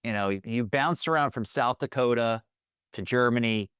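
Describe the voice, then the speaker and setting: A man's voice: mid-range voice, moderate pace, a bit bright, clear, resonant tone, no room sound, clean studio sound. A man hosting a podcast, introducing his guest and asking questions in a friendly, loose way. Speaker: podcast host. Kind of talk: casual interview hosting.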